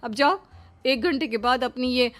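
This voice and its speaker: A woman's voice: sits high, moderate pace, fairly bright tone. A woman voicing a possessive, bossy middle-aged mother-in-law in a cartoon, emphatic and melodramatic. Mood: bossy, haughty. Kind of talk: Hindi moral-story cartoon dubbing.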